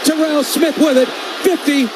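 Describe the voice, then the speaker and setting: A man's voice: very high voice, fairly even intonation, moderate pace, over a noisy crowd. An American man calling football play-by-play live on the radio. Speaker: play-by-play announcer.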